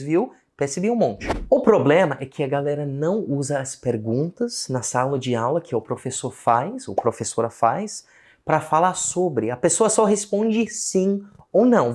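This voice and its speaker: Australian man, English teacher, speaks Portuguese with a foreign accent, animated delivery, lesson video. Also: a little high. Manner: animated, switches between Portuguese and slow English examples. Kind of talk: casual online lesson.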